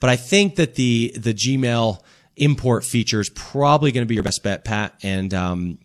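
A man's voice: medium pitch, normal speed. A man hosting a call-in tech radio show, patiently explaining things step by step in a casual way.

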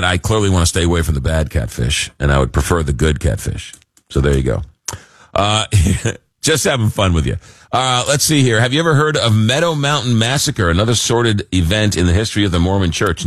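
Man, deep voice, slightly hoarse from a cold, casual talk radio host, halting droll delivery, close dry mic. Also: lively intonation, dry recording. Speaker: radio call-in show host. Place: radio studio. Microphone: close broadcast mic.

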